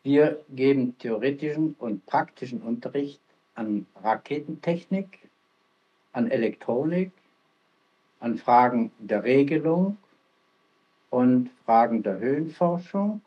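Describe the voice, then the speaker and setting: Formal rocket professor, muffled. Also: male voice, mid-range voice, lively intonation, takes it slowly. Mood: lecturing. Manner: formal, lecturing.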